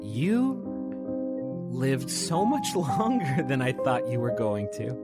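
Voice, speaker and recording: A man's voice: medium pitch, sing-song, takes it slowly. A man voicing an affectionate, playfully teasing wife, a woman carpenter greeting her husband in a tabletop game. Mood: fond teasing. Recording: prominent piano music underneath.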